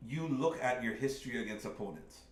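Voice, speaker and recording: A middle-aged man lays out his sports argument point by point, earnest and insistent. His voice sits at an ordinary pitch. The mic is set back in an echoey room. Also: expressive, a little fast, distant mic, background noise. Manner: earnest, argumentative, builds his case step by step. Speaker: podcast co-host. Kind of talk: casual sports debate.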